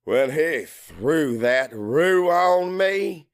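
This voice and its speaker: A man's voice: on the high side, animated, extremely slow, clean tone, dry recording, studio quality. A man reading a first-person audiobook narration in a dramatic, brooding and intense manner.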